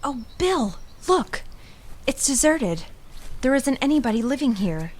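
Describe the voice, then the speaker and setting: An actress playing a practical, fondly exasperated wife in a radio drama, talking in a natural, gently teasing way. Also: female voice, sits high, very animated, a little slow, exaggerated voice. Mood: curious.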